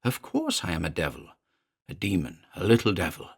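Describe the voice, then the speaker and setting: A man voicing a small, malign male demon familiar who speaks in a shrill, mocking, leering way. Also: wildly swooping pitch, fairly quick, husky, full character voice. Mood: gloating.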